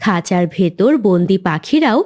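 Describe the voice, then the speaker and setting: A woman's voice: medium pitch, expressive, normal speed, darkish tone. A woman narrating a cartoon fairy tale in a scripted storytelling style.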